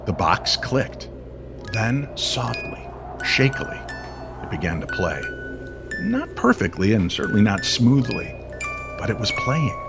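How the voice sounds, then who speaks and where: A man's voice: lowish voice, very animated, a little slow, creaky, pauses often. Theatrical man narrating over prominent music. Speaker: storyteller and co-narrator of an audio story series. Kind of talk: dramatic scripted story narration, nostalgic old-time radio feel. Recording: music playing behind the voice.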